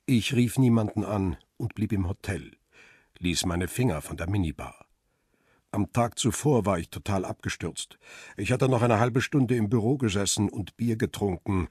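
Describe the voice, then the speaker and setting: A man's voice: sits low, a little mellow, breathy edge, no room sound, studio quality. An actor playing a jaded middle-aged press photographer, narrating his story soberly in a radio crime drama. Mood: weary, reflective.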